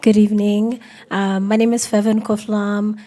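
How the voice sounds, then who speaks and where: A woman gives a brief introduction speech. Her voice sits at a medium pitch. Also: level tone, normal speed, dark tone. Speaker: HR coordinator introducing herself.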